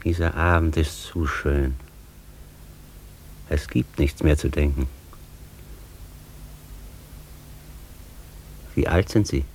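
Exalted male master poet, radio drama: deep, slow, solemn, rhapsodic, weighty pauses. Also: steady tone, a little mellow, a little hiss or hum, rough recording. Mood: solemn, musing. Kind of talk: German radio drama acting, literary declamation.

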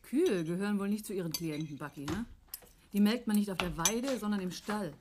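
An actress in a German radio play playing a brisk, sharp-witted woman with a teasing manner. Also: medium pitch, background noise, lightly acted.

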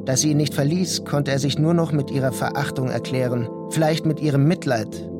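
A man reading scripted literary narration for a radio play in a measured, deliberate way. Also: on the high side, fairly even intonation. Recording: prominent music under the voice. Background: music.

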